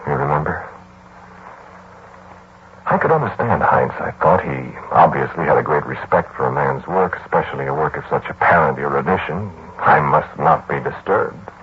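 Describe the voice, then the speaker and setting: A man's voice: extremely low pitch, muffled recording, a little hiss or hum. An actor playing a brooding young lodger, a mathematician, confiding his story in 1940s radio drama style on an old broadcast recording. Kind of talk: 1940s radio acting, first-person narration and dialogue.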